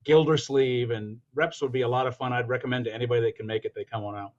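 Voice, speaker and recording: A man's voice: mid-range voice, fairly even intonation, fast talker, highs cut off. A middle-aged or older man talking casually as a guest on a chat. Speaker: guest, radio drama producer and performer.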